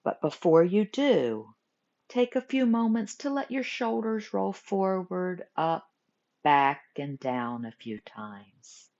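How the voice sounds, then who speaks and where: A woman teaching guided meditation, giving gentle instructions in short phrases with pauses between them. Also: lowish voice, lively intonation. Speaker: yoga nidra meditation teacher. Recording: muffled lo-fi recording.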